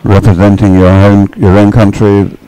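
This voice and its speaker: A man's voice: lowish voice, level tone, moderate pace, mellow, smooth, dry recording, poor audio. A man chatting through live sports commentary as the co-commentator. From Great Britain.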